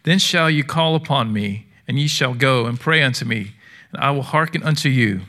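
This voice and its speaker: A man with a bright, forceful, mid-pitched voice reads a scripture passage aloud in old-fashioned English. His delivery is measured and even.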